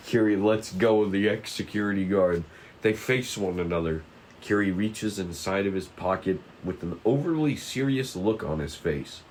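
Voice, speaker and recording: A man's voice: fairly low pitch, expressive, unhurried, a little mellow, a little hiss or hum. A man narrating stage directions at a casual script table read, informal and stumbling now and then.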